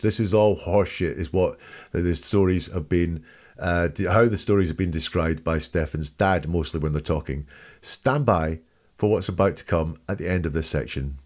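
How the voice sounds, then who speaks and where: A man's voice: deep voice, darkish tone. A man giving informal, blunt and opinionated commentary as he hosts an analysis of a recording. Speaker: host analysing a recorded call.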